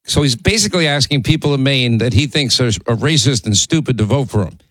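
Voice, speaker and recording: A man's voice: medium pitch, expressive, a little fast, fairly bright tone, no echo, rough recording. A middle-aged male talk radio host rambles through a political monologue, full of asides and fillers. His voice is still recovering from laryngitis. The studio sound is dry and close. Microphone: studio microphone.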